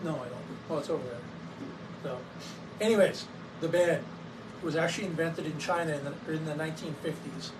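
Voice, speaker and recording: A hoarse man getting over a cold, chatting casually to camera about his clinic's equipment. His voice sits a little high, and he stops often on fillers and the odd cough. He sounds set back from the mic, with steady noise behind him. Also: fairly quick, pauses often. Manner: coughs between sentences, many fillers. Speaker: wellness clinic owner. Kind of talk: casual educational talk to camera. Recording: distant mic with clear background noise.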